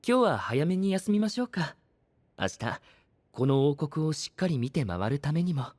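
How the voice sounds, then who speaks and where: A man playing a gentle, composed knight in an audio drama, speaking in soft, formal, courteous phrases. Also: fairly high pitch, sing-song, normal speed, no room sound. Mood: gentle, caring. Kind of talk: anime-style audio drama acting.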